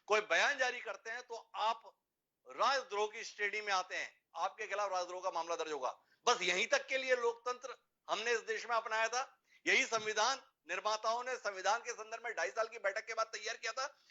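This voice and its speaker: A man's voice: high-pitched, brisk, sharp tone, muffled recording. A man hosting a formal debate show, speaking emphatically and posing rhetorical questions.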